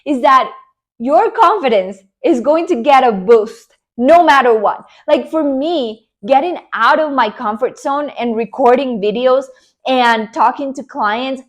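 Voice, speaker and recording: Motivational Colombian woman, rather high voice. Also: unhurried. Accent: Colombian Spanish. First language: Spanish. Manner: earnest, encouraging, emphatic with repeated promises. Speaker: online career coach. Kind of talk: motivational talk to camera.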